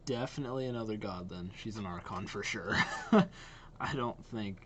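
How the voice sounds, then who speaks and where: Low-voiced man musing out loud. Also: normal speed, crowd noise. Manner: musing, thinks aloud. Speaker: reaction video host. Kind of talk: casual reaction commentary.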